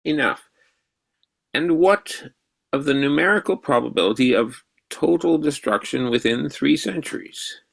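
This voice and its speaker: Man acting as old math mentor, slow and gravelly, very animated pitch, radio play. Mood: brisk, testing. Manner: professorial, deliberate, quizzing. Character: elderly, intense mathematician mentor, excited and probing.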